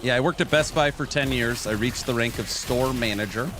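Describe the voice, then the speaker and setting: A man's voice: mid-range voice, brisk, background noise. Jokey late-30s male game streamer. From California. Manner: jokey, reads viewer chat aloud and banters. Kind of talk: casual livestream chat while gaming.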